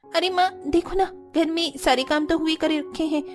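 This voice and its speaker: Cartoon brat: actress as lazy young sister-in-law, very high voice, whiny and demanding, music underneath. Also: female voice, fairly even intonation, normal speed. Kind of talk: Hindi cartoon dialogue acting.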